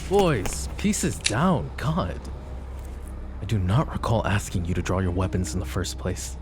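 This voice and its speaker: A man playing a suave, possessive mafia boss in an intimate roleplay, speaking with mock courtesy and a teasing drawl in a low, gravelly voice. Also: sing-song, unhurried, background noise. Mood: angry, commanding. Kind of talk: roleplay ASMR acting, intimate address to listener.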